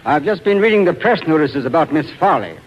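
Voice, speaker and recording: An actor playing a brisk, hard-to-impress Broadway producer in a 1940s radio drama. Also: male voice, on the high side, sing-song, highs cut off, a little hiss or hum. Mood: businesslike.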